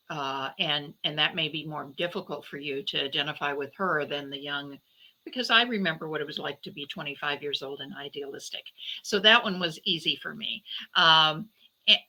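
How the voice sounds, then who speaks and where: A middle-aged woman speaks as a guest in a conversational interview. Her voice is fairly low but strikingly bright and forceful. She answers reflectively, picking her way through each thought. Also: poor audio. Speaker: guest author, debut novelist.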